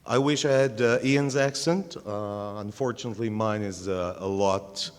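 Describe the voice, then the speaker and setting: A man's voice: on the low side, moderate pace, smooth. A middle-aged man with an Israeli accent giving a casual conference tech talk on a handheld mic, with lots of fillers. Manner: casual, self-deprecating, many fillers. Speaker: conference speaker. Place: large conference hall. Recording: long hall reverb.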